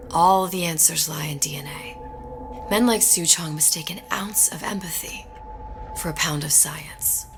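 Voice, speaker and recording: An actress playing a sharp-witted young woman with a dry, cutting manner. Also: low voice, a little slow, loud music behind the voice. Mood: whispered musing. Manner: dry, cutting; hushed whisper when musing.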